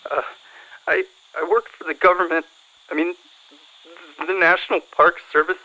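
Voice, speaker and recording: A man's voice: a little high, fairly even intonation, normal speed, pushed and bright. An actor playing a nervous man on the run, stammering and panicky as he calls a radio show. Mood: panicked. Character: nervous, hunted ex-government whistleblower calling a radio show. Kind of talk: acted radio call-in. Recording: muffled caller line.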